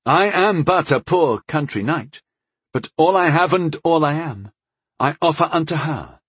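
A man voicing an earnest, courteous country knight with rough edges in a fantasy audiobook, dignified and formal. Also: medium pitch, expressive, moderate pace, no room sound. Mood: bold. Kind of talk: audiobook character voice.

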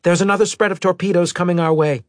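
A man's voice: a little high, a little fast, no room sound, studio quality, pitched above the performer's own voice, natural voice. A man voicing a tense, alert female crew member in a sci-fi audiobook, speaking bluntly and urgently. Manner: urgent, blunt reporting of danger. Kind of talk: audiobook character acting.

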